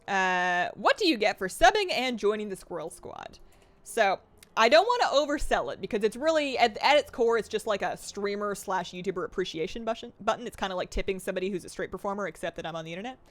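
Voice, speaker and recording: A young woman streaming a game live, chatting excitedly and reading her chat aloud. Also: medium pitch, fast.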